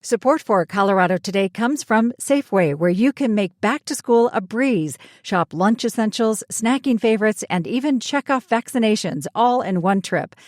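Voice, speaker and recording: A woman with a mid-pitched voice reads a scripted sponsor credit. The recording is dry and close, as in an announcer booth read. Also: expressive, normal speed, hardly any pauses, a little mellow, dry recording.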